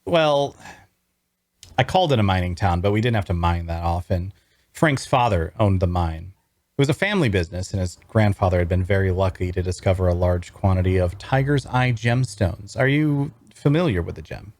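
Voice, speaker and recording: A man playing a wry young traveler, telling his fantasy adventure in measured, dramatic first-person narration at a table read. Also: low voice, lively intonation, a little mellow, no room sound. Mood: friendly, guarded. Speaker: voice actor.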